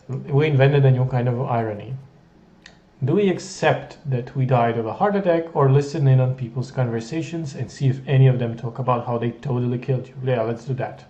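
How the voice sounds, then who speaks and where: Mellow-voiced man, wry and playful. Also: medium pitch, reverberant, lo-fi sound. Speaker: gamer reading a text game aloud. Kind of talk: reading a text adventure aloud with comic asides.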